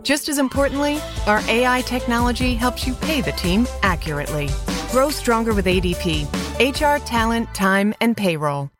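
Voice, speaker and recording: A woman's voice: fairly high pitch, sing-song, moderate pace. A woman giving a scripted corporate voice-over for a commercial. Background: music.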